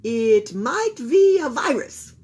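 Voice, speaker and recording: Woman playing a pompous, high-pitched doctor. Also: big pitch swings, slow, a little mellow. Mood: authoritative. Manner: authoritative, emphatic. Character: pompous doctor, grave expert announcing theories.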